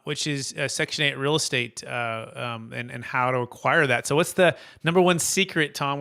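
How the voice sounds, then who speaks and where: A man's voice: medium pitch, sharp tone, smooth. A man hosting a podcast, reading a polished intro and then asking interview questions in an upbeat way. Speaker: podcast host.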